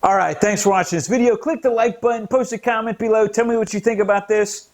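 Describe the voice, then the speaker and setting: A man's voice: sits high, steady tone, fast talker. An American man hosting his own commentary channel, talking earnestly and growing indignant as he lays out a case. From United States. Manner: earnest, indignant, rising emphasis at key points. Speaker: political commentary channel host. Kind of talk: scripted-sounding solo commentary.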